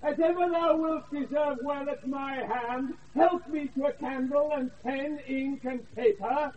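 A stuffy british steward locked up as mad, indignant and begging, really high, old tinny radio play sound. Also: male voice, steady tone, unhurried. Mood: aggrieved pleading. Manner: pleading, indignant, declamatory. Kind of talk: 1980s British radio Shakespeare acting. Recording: tinny, distant off-air radio recording.

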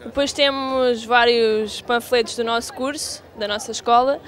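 A woman's voice: fairly high pitch, fairly even intonation, moderate pace. A young woman with a European Portuguese accent explaining items at an outdoor fair stand into a handheld mic. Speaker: student exhibitor. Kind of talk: casual explanation. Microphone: handheld reporter mic.

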